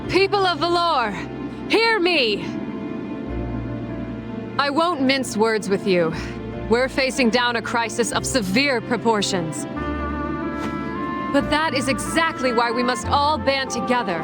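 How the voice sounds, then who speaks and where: A woman voicing a bold, resolute lieutenant in a video game, commanding and plain-spoken, over loud music. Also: on the high side, animated, slow, long pauses. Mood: rousing resolve. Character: bold, resolute female lieutenant rallying townspeople. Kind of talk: dramatic video game voice acting.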